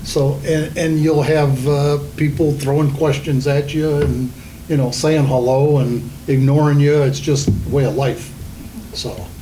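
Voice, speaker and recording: Folksy man, mellow voice. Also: on the high side, fairly even intonation, a little slow, slight background noise, low-quality recording. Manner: folksy, adds okay as a check. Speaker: council member. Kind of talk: meeting chat.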